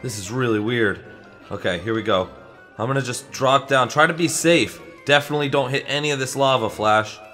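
A man's voice: mid-range voice, fairly quick, soft background music, lightly acted. A young man playing a friendly, eager superhero in a casual, chatty, improvised video game roleplay. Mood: wary. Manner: chatty, excitable, buddy-like.

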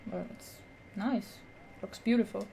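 A woman gives casual, low-key commentary on a video game. Her voice is soft-toned and mellow, and she talks slowly and hesitantly, with faint game ambience behind her. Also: fairly even intonation, gravelly, a little hiss or hum. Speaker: let's play host.

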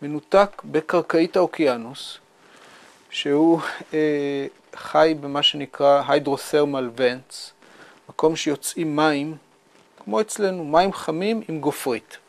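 Interviewee: man, fifties, scientist explaining, measured delivery, gravelly creak, slightly high register. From Israel. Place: small indoor room with bookshelves.

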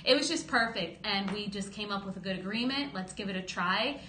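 A woman in her thirties, a boxing promoter, telling her story in a lively podcast interview at a close studio mic. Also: mid-range voice, a little fast. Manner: lively storytelling, gestures while talking, acts out quotes. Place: small studio office, indoors. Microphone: studio boom mic close up. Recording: roomy studio with faint hum.

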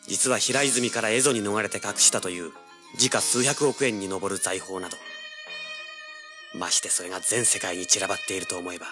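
An actor playing a self-assured, hard-boiled teenage treasure hunter, narrating and speaking in an audio drama. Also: male voice, lowish voice, fairly quick, close to an everyday voice. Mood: confident, dramatic. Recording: music behind the voice. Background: background music.

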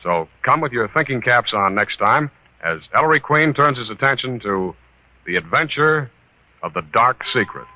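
A man's voice: mid-range voice, expressive, moderate pace. A man hosting a 1940s radio mystery show, delivering a scripted announcement. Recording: dull old radio recording.

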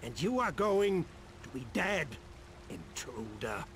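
A man's voice: a little high, sing-song, takes it slowly, vocal fry, a bit bright, slight background noise, full character voice. An actor with a German accent playing a gloating, menacing male cult guard in a video game. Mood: threatening. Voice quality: heavy accent, theatrical menace.

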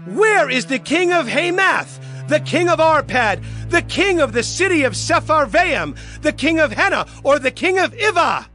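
An arrogant conqueror's messenger in an audio scripture drama. The voice is very high and sharp, swinging widely as it declaims taunts and challenges. Music plays underneath. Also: male voice, sing-song, moderate pace, few pauses, heavily acted. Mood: taunting, menacing.

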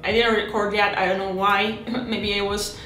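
A woman in her thirties casually narrating her hobby build, chatty and halting, with many restarts and fillers, recorded at home on a desk camera mic. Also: medium pitch, level tone, bright, forceful tone, echoey room, slight background noise, rough recording. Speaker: miniature terrain hobbyist.

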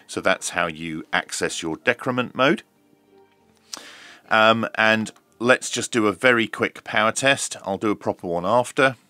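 Middle-aged man, very low pitch, forceful bright tone, measured explanatory delivery, hands-on review commentary. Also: dry recording, soft background music. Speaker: radio reviewer.

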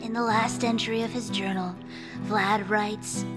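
An actress playing a solemn doctor, reading aloud in a grave, declarative documentary style. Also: female voice, mid-range voice, fairly even intonation, a little slow, over loud music, lightly acted.

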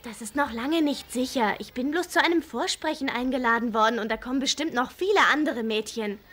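Bright, high-voiced anxious schoolgirl. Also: a little fast. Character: anxious, self-doubting teenage schoolgirl best friend. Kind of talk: animated series dub acting.

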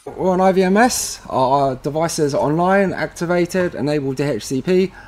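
A man presenting a casual step-by-step tutorial with a slightly husky voice, informally talking through each step as he does it. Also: on the high side, normal speed, a little mellow.

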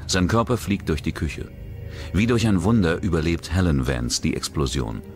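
A man's voice: sits low, normal speed. A man reads a scripted true-crime documentary narration. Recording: music bed behind the voice. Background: background music.

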